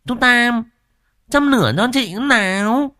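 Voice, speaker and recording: A man voicing a brash, hot-tempered village woman who shouts bossy demands in a shrill voice. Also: a little slow, no room sound, clean studio sound, exaggerated voice. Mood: bossy loud.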